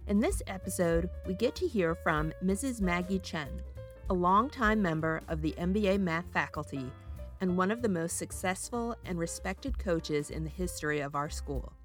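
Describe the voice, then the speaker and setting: A woman's voice: lowish voice, fairly bright tone. Polished woman podcast host. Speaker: school librarian and podcast host. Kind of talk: scripted podcast introduction.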